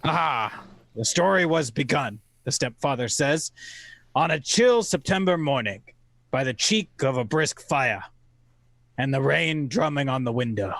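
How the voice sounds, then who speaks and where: A man giving theatrical scripted narration in an old-time radio manner, oratorical and wry, with deliberate phrasing. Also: medium pitch, expressive, a bit bright, no room sound.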